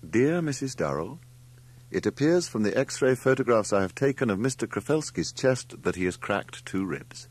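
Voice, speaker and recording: A man voicing a courteous, meticulous gentleman scientist reading out his letter in an audiobook, formal and diffident. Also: medium pitch, lively intonation, moderate pace, slightly rough, pitched above the performer's own voice. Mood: formal, grave.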